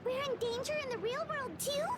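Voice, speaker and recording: A woman voicing a tiny, childlike, excitable fairy companion with a squeaky voice in a video game. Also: a little fast, noisy background. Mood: alarmed, confused. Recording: game audio under loud music.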